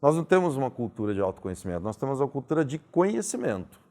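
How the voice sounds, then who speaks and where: A man hosting an interview, asking thoughtful, philosophical questions in a calm conversational way. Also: mid-range voice, rapid-fire.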